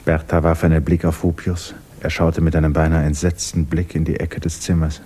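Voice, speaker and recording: A man narrating a literary 1960s German radio play in a measured, composed manner. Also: rumbling low, monotone, warm tone, husky.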